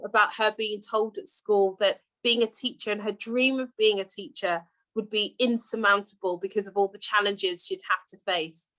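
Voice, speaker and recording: An English woman in her thirties speaks as a guest at a conference, in a bright, clear tone. She talks earnestly, with frequent fillers and repeated words. The sound comes through a video call. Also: mid-range voice, poor audio. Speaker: guest speaker, deputy head teacher. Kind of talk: prepared conference talk.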